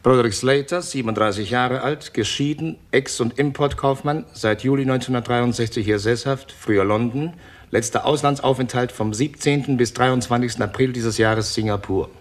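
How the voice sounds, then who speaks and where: An actor playing a dutiful police officer in a 1960s German radio crime drama, speaking in a clipped, official manner. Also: male voice, medium pitch, moderate pace, lightly acted. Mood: official, neutral. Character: dutiful policeman reading out a telex report.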